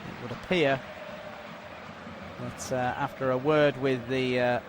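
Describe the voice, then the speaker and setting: A man giving unofficial live commentary on a football match, calmly filling a stoppage with updates and statistics. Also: medium pitch, background noise. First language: not Dutch. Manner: measured, informative, filling time with stats and updates.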